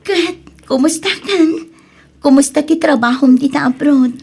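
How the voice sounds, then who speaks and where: An actress playing a soft-hearted young woman in love in an Ilocano radio drama, with an Ilocano accent, speaking emotionally and hesitantly in a breathy voice. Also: sits high, normal speed. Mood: tender, longing.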